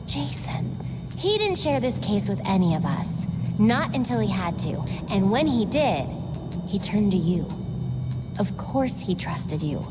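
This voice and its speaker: A woman playing a caring, level-headed young American vigilante with a measured delivery in a video game cutscene. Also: medium pitch, big pitch swings, big-room sound, over loud music, poor audio. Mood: reassuring. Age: young adult. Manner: measured, reassuring.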